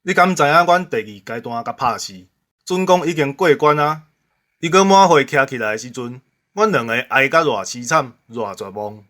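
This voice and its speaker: A man's voice: mid-range voice, lively intonation, moderate pace, a bit bright. A man with a Taiwanese accent hosting a show in bantering talk. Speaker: show host.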